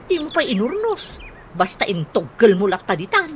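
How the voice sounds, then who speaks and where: An actress playing a fault-finding, complaining village wife in an Ilocano radio comedy drama, with an Ilocano accent and a grumbling manner. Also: female voice, medium pitch, very animated, normal speed, lightly acted. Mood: scolding. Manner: scolding, grumbling. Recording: noisy radio drama with ambience.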